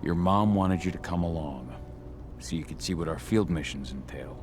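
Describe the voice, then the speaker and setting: A man's voice: sits low, moderate pace, darkish tone, a little hiss or hum, close to an everyday voice. A man playing a brooding, protective werewolf warrior and father, terse and stern, in a game cutscene. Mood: gentle, fatherly. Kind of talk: dramatic game cutscene acting. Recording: music behind the dialogue.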